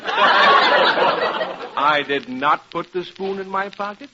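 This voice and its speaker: An irritable, pompous professor in an old radio comedy, high-voiced and sarcastic. He words everything with fussy precision. The recording is muffled, with heavy noise. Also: very slow, pushed and bright, full character voice. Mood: exasperated. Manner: indignant, fussy, sarcastic, over-precise wording. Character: irritable, pompous college professor, easily exasperated. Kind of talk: vintage radio sitcom acting.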